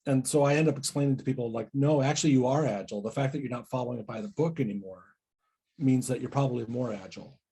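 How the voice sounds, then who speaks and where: Husky, mellow man with some creak. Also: mid-range voice, fast. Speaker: agile coach, podcast guest. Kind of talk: casual podcast discussion.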